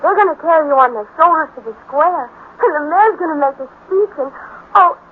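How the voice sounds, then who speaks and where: A fond, gushing woman in a vintage radio play. Her voice is very high and excited, on a thin recording with faint noise. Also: a little fast, narrow, tinny audio. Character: fond, gushing woman welcoming young heroes. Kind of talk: 1950s radio drama acting. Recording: noisy, phone-thin 1950s radio recording.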